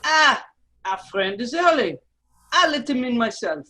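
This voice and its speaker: A menacing agent of the French Revolution, acted by a woman in a radio drama table read. The voice is fairly high. The delivery is clipped, commanding and coolly formal. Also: big pitch swings, measured pace, sharp tone, full character voice. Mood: dismissive. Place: remote virtual table read.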